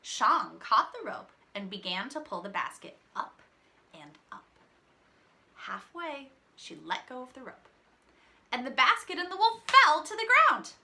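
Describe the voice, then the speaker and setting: A woman's voice: sits high, big pitch swings, a little slow, sharp tone. A woman reading a children's story aloud, dramatic and teacherly, with asides to the listeners.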